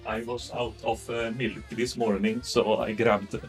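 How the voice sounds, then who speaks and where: A man's voice: lowish voice, flat delivery, soft background music. A man putting on a thick mock-Swedish accent. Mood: playful. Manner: deliberately broken, slow, exaggerated English. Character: man mocking his own heavy Swedish accent.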